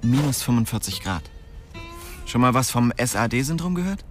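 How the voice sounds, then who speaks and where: A man's voice: medium pitch, animated, normal speed, close to an everyday voice. An actor playing a cocky, restless young man who narrates his story in a slangy, streetwise radio drama style. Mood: wry, philosophical. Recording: music behind the voice.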